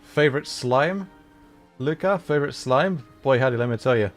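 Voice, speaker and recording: A man's voice: medium pitch, lively intonation, normal speed. A man hosting a livestream, chatting casually as a game is played, with hesitant, thoughtful, rambling phrasing. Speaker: livestream host, VTuber and audio voice performer. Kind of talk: casual livestream chat while playing a video game. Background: music behind the voice.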